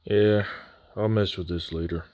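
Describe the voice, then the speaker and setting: Deep-voiced casual man, dry voice-over. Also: steady tone, measured pace, warm tone, dry recording. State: recovering from near voice loss. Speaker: scale model builder. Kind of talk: voice-over unboxing walkthrough.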